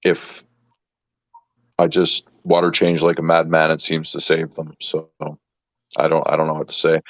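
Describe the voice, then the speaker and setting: Deep-voiced man in his fifties, halting. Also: monotone, dry recording. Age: 50s. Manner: halting, repeats words, searches for words. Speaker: fish keeper. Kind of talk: casual chat. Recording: dull lo-fi sound.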